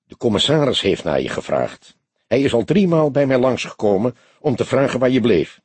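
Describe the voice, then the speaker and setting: A man's voice: mid-range voice, sing-song, normal speed, a little mellow, slightly rough, dry recording, pitched above the performer's own voice. A man voicing a young detective in an audiobook, an earnest, grumbling junior colleague. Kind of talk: audiobook character voice.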